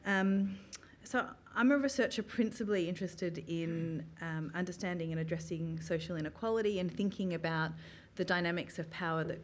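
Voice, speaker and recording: A woman's voice: on the low side, slight background noise. Earnest woman academic reading a talk. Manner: measured, earnest, frequent ums. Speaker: academic speaker. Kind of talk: prepared public talk, reading from notes. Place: small gallery room with an audience, indoors. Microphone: stand mic, filmed from the audience.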